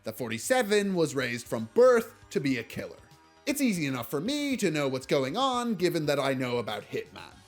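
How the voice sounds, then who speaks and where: A man's voice: a little high, wildly swooping pitch, moderate pace. A man narrating a scripted film review video essay in a wry way, leaning hard on key words. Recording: music behind the voice. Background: background music.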